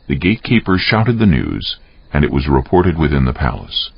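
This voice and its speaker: A man's voice: extremely low pitch, big pitch swings, normal speed, gravelly, slightly rough. A man narrating a dramatized Bible audiobook in a scripted, dramatic storytelling style. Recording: dull, muffled audio.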